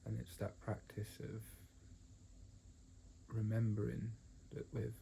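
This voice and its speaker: Low, mellow man guiding meditation. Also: completely flat delivery, gravelly, a little hiss or hum. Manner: halting, gentle, with long pauses. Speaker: meditation teacher. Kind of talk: guided meditation instruction.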